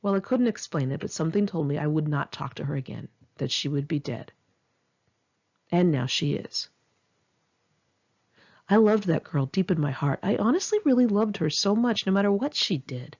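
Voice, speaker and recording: Female author narrating her own memoir audiobook; conversational, animated intonation, slightly lo-fi sound. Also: fairly low pitch, normal speed. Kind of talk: first-person memoir narration, audiobook read in one take.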